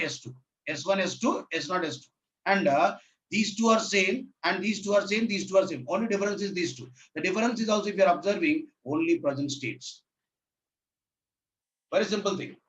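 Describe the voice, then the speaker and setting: A man's voice: high voice, a bit bright, slight background noise. A man with a sore throat, teaching an online lecture, repeating each point. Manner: repetitive, asks if audible, says sir. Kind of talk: online lecture on state reduction. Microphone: laptop mic. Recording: distant, echoey, dull laptop mic.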